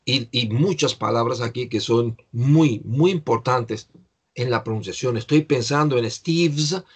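A man's voice: medium pitch, a little slow, slightly rough. An older man teaching English in an online class, patiently explaining pronunciation to a student over a video call.

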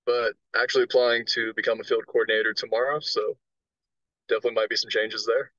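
A man's voice: fairly low pitch, flat delivery, quick pace, bright, forceful tone, no room sound, muffled recording. A man in his mid thirties chatting casually as a guest on a video call. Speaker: dating show guest. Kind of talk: casual chat.

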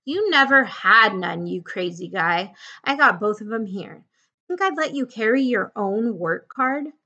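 A woman's voice: mid-range voice, expressive, a little slow, pushed and bright, highs cut off, full character voice. A woman voicing an irritable, bossy little drifter man from a novel, with rustic, scolding speech. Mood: sharp, scolding. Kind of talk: audiobook-style character reading of a novel.

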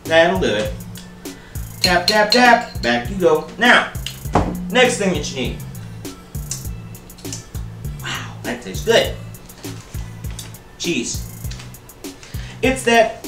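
A man's voice: high-pitched, very animated, drawn-out words, pauses often. Man, 30s, home cooking host, playful and chatty, camera mic a few feet away, echoey kitchen, background music. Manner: playful, jokey, calls viewers baby and buddy. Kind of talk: casual cooking demonstration. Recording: roomy kitchen with music behind.

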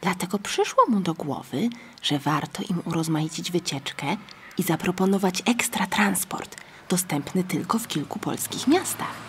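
A woman reading scripted narration for a children's story, with a husky, breathy voice. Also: lowish voice, lively intonation, normal speed, a bit bright, a little hiss or hum.

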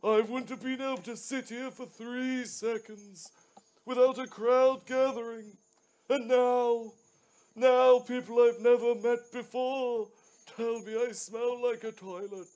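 A washed-up old Santa played by a man in a radio play, very high in pitch for a man and slow. He is mournful and full of self-pity, dragging out his sorrows. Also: steady tone, a little mellow. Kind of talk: live radio play read-through.

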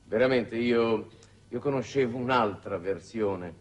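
An actor playing a grave, authoritative foreign minister with formal, measured oratory in a 1960s Italian television drama. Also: male voice, mid-range voice. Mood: probing. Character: grave, authoritative foreign minister chairing a space war council. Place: television studio set. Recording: dated 1960s television soundtrack.

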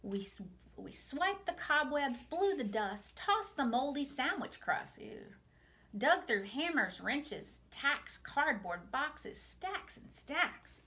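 A woman in her forties reading a picture book aloud to children in an expressive, deliberate way, with asides to her young listeners. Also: high-pitched. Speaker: storytime reader for a museum family programme. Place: small home room, indoors.

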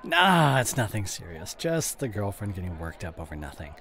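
A man's voice: fairly low pitch, sing-song, moderate pace, a crowd in the background. A man playing an evasive, defensive boyfriend with a drinking habit in an audio roleplay, speaking in a hesitant, one-sided conversation. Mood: tipsy, dismissive. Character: evasive drinking boyfriend, dismissive then guilt-stricken.

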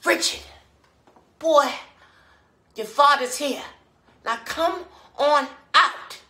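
A man's voice: very high voice, steady tone, slow, a bit bright, reverberant, voice pushed up, heavily acted. A man playing an anxious, scolding mother who gives urgent commands in a theatrical monologue. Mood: urgent calling. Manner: urgent, commanding, protective of her husband.

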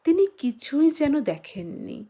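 A woman with a fairly high voice reads a literary story aloud as an audiobook narrator. Her pace is very slow and measured, with an expressive storyteller's delivery.

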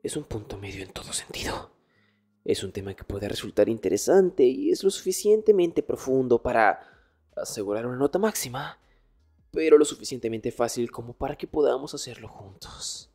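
A man playing an arrogant nerdy young student in an intimate ASMR roleplay, hushed and often dropping into whispers. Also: medium pitch, lively intonation, normal speed, breathy edge, faint music behind. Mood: exasperated. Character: arrogant nerdy young male student, exasperated, teasingly dominant. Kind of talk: Spanish ASMR boyfriend roleplay, intimate one-sided dialogue.

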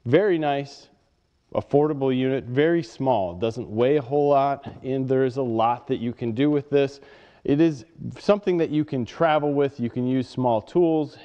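A man's voice: mid-range voice, normal speed, warm tone. A man giving a plain, matter-of-fact hands-on product demonstration at a workbench in a workshop. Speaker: product reviewer. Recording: dull sound with long reverb.

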